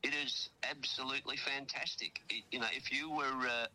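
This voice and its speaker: Chatty Australian man, bright voice. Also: medium pitch, fairly even intonation, moderate pace, rough recording. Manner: chatty, rambling, many fillers and restarts. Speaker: radio correspondent. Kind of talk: casual chat on a phone link. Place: outdoors at a memorial hall abroad.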